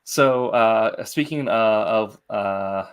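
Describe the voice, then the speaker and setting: Lowish-voiced man, halting interview host. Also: clean tone. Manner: hesitant, halting phrasing. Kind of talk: casual interview chat.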